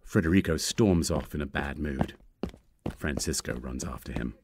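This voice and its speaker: A man narrates a screenplay's action lines. His voice is exceptionally deep, and the delivery is scripted storytelling of each scene. A faint noise sits on the recording. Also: moderate pace, gravelly.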